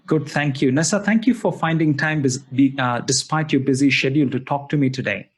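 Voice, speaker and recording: Courteous male interviewer, mid pitch, friendly question-asking. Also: fairly quick, slightly rough. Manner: polite, repeats thanks often. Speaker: interviewer, host. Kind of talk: casual interview.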